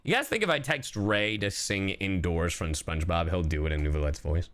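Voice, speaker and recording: A man's voice: low-pitched, animated, brisk, a bit bright. A man reacting casually on a livestream as its host, joking irreverently and swearing heavily.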